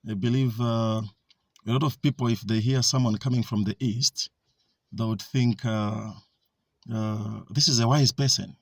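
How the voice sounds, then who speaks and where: Zambian man, gravelly, husky, hesitant with many fillers, dry close sound. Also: lowish voice, fairly even intonation, measured pace, no echo. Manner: hesitant, with frequent fillers and restarts. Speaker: speaker giving a talk. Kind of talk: informal talk.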